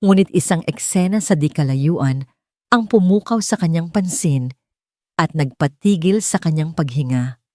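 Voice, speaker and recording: Filipino woman narrating, low-pitched and dry. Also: animated, moderate pace, dark tone, dry recording. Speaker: audiobook narrator. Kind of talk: scripted dramatic story narration.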